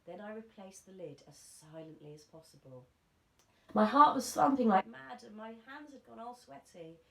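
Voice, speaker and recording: A woman's voice: medium pitch, animated, moderate pace, creaky, sharp tone. A woman reading a children's story aloud, like a primary school teacher to her pupils. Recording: echoey room, faint background noise.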